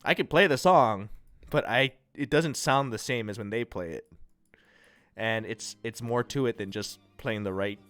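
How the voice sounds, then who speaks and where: A congested, nasal-sounding man from the United States hosting a livestream, chatting casually and rambling through viewers' comments with a relaxed, self-deprecating manner. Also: mid-range voice, normal speed, no echo, faint music behind. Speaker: livestream host. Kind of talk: casual chat reading and answering viewer chat.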